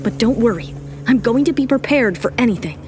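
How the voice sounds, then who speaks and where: A woman's voice: on the high side, animated, brisk, a little mellow, a little hiss or hum, close to an everyday voice. A woman voicing a proud, independent young model who speaks with composed determination in an audio drama. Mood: determined.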